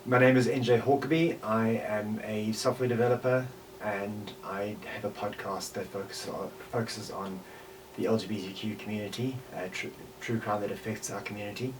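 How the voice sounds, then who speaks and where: Man in his forties, South African accent, low pitch, halting interview-style talk, repeated words, faint noise. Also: fairly even intonation. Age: 40s. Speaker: podcaster and voice actor. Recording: distant mic with faint background noise.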